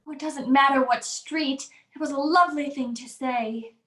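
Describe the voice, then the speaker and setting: A woman's voice: high-pitched, steady tone, normal speed, a bit bright. An actress playing a timid, naive young servant woman in a radio drama, speaking with fearful, innocent earnestness. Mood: dreamy. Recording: roomy, echoing voice track.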